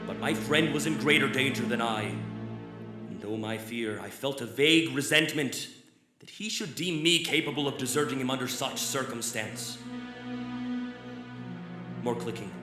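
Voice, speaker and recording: Male actor as fearful loyal horror narrator, solemn dramatic delivery, roomy live stage, loud music underneath. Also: mid-range voice, unhurried, long pauses, close to an everyday voice. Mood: haunted, solemn. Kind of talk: old-time radio horror drama acting. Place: theatre stage in live performance.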